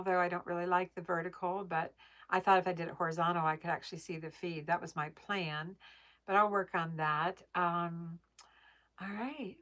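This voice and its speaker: A woman's voice: lowish voice, a bit bright. Chatty woman on a phone livestream. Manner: chatty, bubbly, laughs easily. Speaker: livestream host reading viewer comments. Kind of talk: casual live chat. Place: home family room downstairs.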